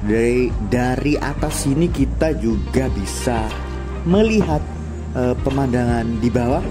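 A man's voice: mid-range voice, animated, measured pace. A man, chatty and upbeat, narrating casually as he walks through a busy food court and cafe, filmed on a handheld camera. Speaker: vlogger touring a food court. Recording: loud background music over the voice. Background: loud music, crowd chatter.

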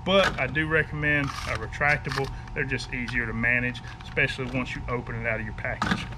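A man's voice: mid-range voice, little pitch movement, brisk. A man, talking casually to the camera in a driveway and stopping to ask "okay?" as he runs through fishing gear. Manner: folksy, emphatic, keeps asking "okay?". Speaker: fishing vlogger. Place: outdoor driveway beside a house. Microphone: camera mic at a few feet. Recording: outdoor camera audio with background noise.

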